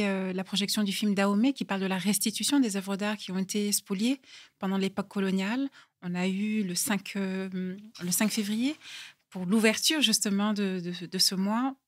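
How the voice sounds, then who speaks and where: A woman co-hosting an interview, laying out an event program in a halting way with many fillers. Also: mid-range voice, no echo, studio quality. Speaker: co-host, event organizer. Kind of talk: interview conversation.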